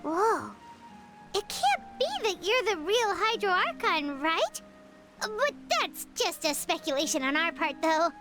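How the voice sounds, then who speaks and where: A woman voicing a small, curious, blunt and excitable childlike fairy companion in a video game, with a squeaky voice. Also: measured pace, exaggerated voice. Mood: surprised curiosity. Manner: exclaiming, hesitant questions. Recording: loud background music.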